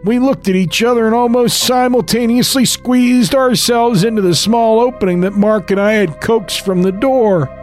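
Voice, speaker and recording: A man's voice: very high-pitched, normal speed, few pauses, soft background music, pitched above the performer's own voice. A man voicing a frail, rambling old grandfather who tells a horror story in the first person. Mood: eerie storytelling.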